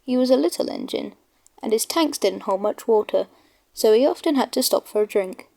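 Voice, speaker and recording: A woman's voice: fairly high pitch, lively intonation, moderate pace, vocal fry, dark tone. A woman narrating a children's storybook from a script.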